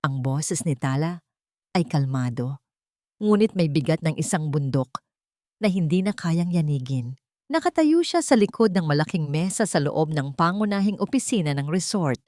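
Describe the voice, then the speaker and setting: A woman's voice: low-pitched, expressive, normal speed, darkish tone, no room sound, clean studio sound. A woman reading a scripted dramatic story as an audiobook narrator.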